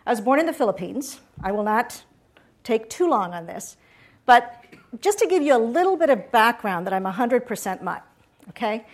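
Chatty woman giving a lively talk. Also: a little high, moderate pace. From Puerto Rico. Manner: chatty, asks audience questions. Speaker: guest speaker.

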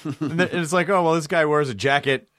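A man's voice: fairly high pitch, fast talker, a bit bright, dry recording, clean studio sound. A man hosting a casual interview, joking and rambling as he swears freely. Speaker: interviewer host.

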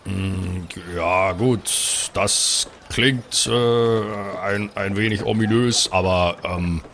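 Guy playing a nice polite adventurer, kinda low voice, hesitant and wordy, slight noise. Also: steady tone, very slow, fairly bright tone, full character voice. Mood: polite, probing. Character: polite, earnest male adventurer, hesitant negotiator.

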